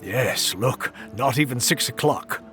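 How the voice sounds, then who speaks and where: An actor playing a composed, polite European traveler with dry wit and a measured, understated manner in an audio drama. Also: male voice, mid-range voice, lively intonation, pushed and bright, soft background music. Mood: mild, chatty.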